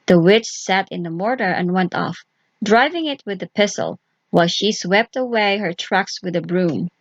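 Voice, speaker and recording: A woman's voice: mid-range voice, expressive, moderate pace, no echo, muffled recording. A woman reading a scripted folk tale aloud for children as a storyteller.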